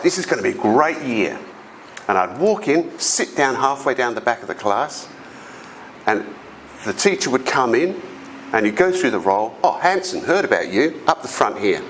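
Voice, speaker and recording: A man's voice: medium pitch, normal speed, a little hiss or hum, low-quality recording. A middle-aged man with an Australian accent and a big voice, telling personal stories as a conference speaker and acting out the voices of the people he quotes. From South Australia. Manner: animated storytelling, acts out quoted voices. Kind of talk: storytelling presentation. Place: conference hall.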